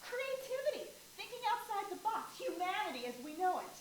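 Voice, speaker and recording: A woman in a staged play reading, playing an excitable academic who speaks emphatically and repeats words. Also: extremely high pitch, fairly quick, slight background noise. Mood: fervent. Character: excitable female academic expounding on neurodiversity. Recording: echoey room, far from the mic.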